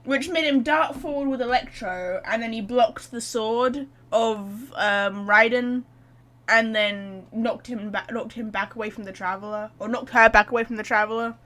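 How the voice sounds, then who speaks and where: A woman's voice: a little high, normal speed, fairly bright tone. A woman doing casual livestream game commentary, blunt, joking and given to swearing. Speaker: game streamer.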